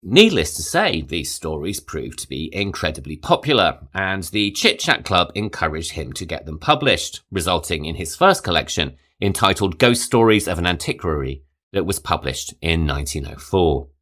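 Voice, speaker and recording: A man hosting a podcast, reading a scripted, measured narration. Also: low voice, sing-song, fairly bright tone. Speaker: podcast host.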